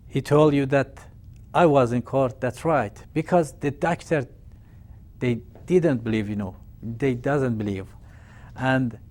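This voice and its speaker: Slow Persian-accented man, earnest. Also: medium pitch, darkish tone. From Iran. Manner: slow, earnest, simple phrasing. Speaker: healer guest. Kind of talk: halting address to viewers in broken English.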